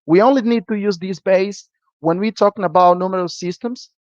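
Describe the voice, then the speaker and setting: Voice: medium pitch, fairly quick, darkish tone, dry recording. English teacher, keeps asking okay?, lo-fi muffled sound, dry room. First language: Portuguese. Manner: checks in with a frequent "okay?". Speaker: programming teacher. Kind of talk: live-streamed lesson. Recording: dull lo-fi dry recording.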